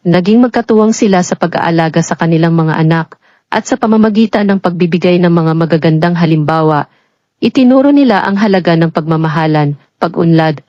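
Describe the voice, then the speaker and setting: A woman narrating a scripted romance story in a measured, sentimental storybook delivery. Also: lowish voice, darkish tone, no room sound. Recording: dull lo-fi audio with background music. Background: music.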